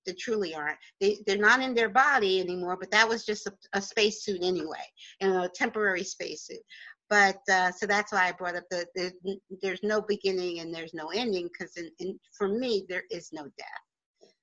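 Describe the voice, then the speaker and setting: A woman's voice: mid-range voice, moderate pace, a bit bright, muffled recording. A woman with a New Jersey accent hosting an interview from her home office, talking in a chatty, rambling way and laughing easily. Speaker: radio show host. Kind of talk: casual interview chat.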